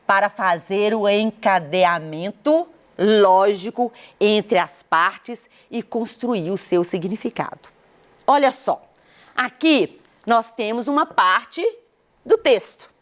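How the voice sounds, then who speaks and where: A Brazilian woman teaching a Portuguese grammar lesson, explaining patiently and often checking that students follow. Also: mid-range voice. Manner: slow, patient explaining with frequent checks for understanding. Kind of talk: recorded classroom lesson.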